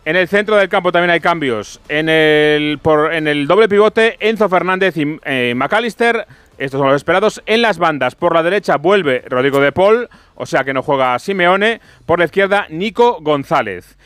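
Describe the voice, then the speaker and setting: A man's voice: on the high side, expressive, moderate pace, fairly bright tone, clear, resonant tone, no room sound. A man from giving an orderly, methodical live report as a sports radio reporter. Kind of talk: live team line-up report.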